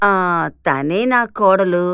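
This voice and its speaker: A woman's voice: lowish voice, takes it slowly, a bit bright, clean tone, no echo, studio quality. A woman voicing a bossy, middle-aged mother-in-law in a cartoon moral story. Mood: indignant. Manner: reproachful.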